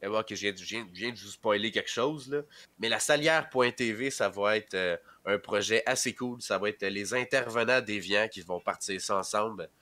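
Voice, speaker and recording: A young Québécois man with a head cold talks in a lowish, bright, forceful voice. He wanders through his stories with plenty of fillers and restarts, in a loose, casual chat. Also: moderate pace. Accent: Québécois French. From rural Quebec. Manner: rambling, hesitant, with many fillers. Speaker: podcast guest and streamer.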